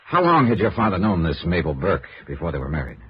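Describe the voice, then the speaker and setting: An American actor playing a cool, probing insurance investigator in a 1950s radio noir drama, with clipped, wry delivery. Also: male voice, low-pitched, very animated, fast talker, husky, exaggerated voice. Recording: dull, noisy old radio recording.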